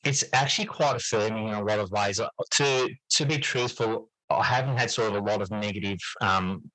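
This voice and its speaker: Low-voiced man, reflective interview guest. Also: fairly quick, pushed and bright, dry recording, rough recording. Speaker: interview guest, teacher and writer. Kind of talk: reflective conversational interview answers.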